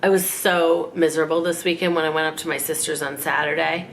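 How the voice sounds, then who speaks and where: A woman in her forties, raspy from a cold, chatting and rambling in a casual haul vlog at home. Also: sits low, flat delivery, fairly quick, slight background noise, rough recording. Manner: chatty, rambling, self-mocking. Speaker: fashion vlogger. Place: living room at home.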